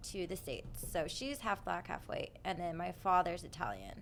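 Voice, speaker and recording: A woman's voice: on the low side, big pitch swings, normal speed, creaky, fairly bright tone, slight background noise. A young adult woman chatting as a guest on a radio show, easygoing and talkative. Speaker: studio guest. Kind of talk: casual chat.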